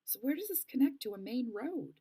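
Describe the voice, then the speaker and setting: A woman's voice: fairly high pitch, sing-song, fairly quick, dark tone, slightly rough, voice pushed up. A woman voicing an anxious, curious young girl stranded in the wilderness in a storybook read-aloud. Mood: questioning. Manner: questioning, worried. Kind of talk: storybook character voice.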